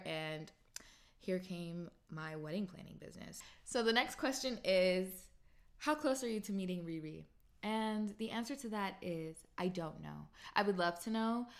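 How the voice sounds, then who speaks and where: A woman in her late twenties hosting a podcast, answering listener questions in a chatty, upbeat way and animating her voice when she quotes herself. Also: on the low side. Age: 20s. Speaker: podcast host. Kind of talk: casual solo Q&A podcast.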